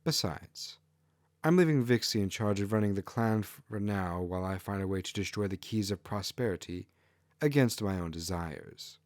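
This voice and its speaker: A man's voice: on the low side, animated, normal speed, no room sound, studio quality, close to an everyday voice. A man voicing an arrogant, callous female fox kitsune ruler in a haughty, dismissive manner for a fantasy story. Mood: haughty, scornful.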